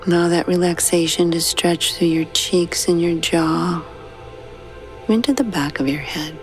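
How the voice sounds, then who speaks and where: Deep voiced lady doing a sleep meditation, slow n soothing, kinda hushed, quiet music under it. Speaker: meditation guide. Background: soft background music.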